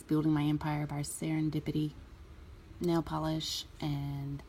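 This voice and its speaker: A woman in a relaxed, chatty way explaining a nail art tutorial, with plenty of ums. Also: low-pitched, gravelly, slight background noise, low-quality recording. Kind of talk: casual tutorial talk.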